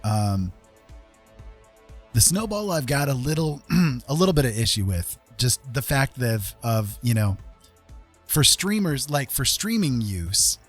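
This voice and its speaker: A man chatting casually to viewers as a live streamer, rambling along in an easygoing, informal way. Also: mid-range voice. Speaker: former radio host. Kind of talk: casual livestream chat with viewers. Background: music playing.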